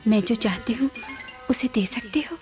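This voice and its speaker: An actress in a radio play playing a sorrowful, seductive female ghost, pleading in slow, half-whispered phrases. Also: on the high side, darkish tone, close to an everyday voice. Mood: teasing, coaxing. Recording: music under the voice.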